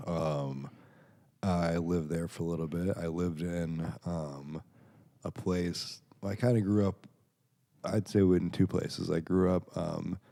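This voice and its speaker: Young American male podcast guest, late twenties, deep relaxed voice, informal, joking, rambling chat. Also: slow, gravelly. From Chicago area, United States. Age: late 20s. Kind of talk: casual chat.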